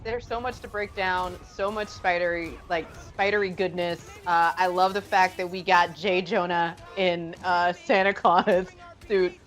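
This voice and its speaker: A woman's voice: on the low side, steady tone, measured pace, faint music behind. Enthusiastic woman hosting a live show. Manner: enthusiastic, gushing. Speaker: host. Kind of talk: live show interview and commentary.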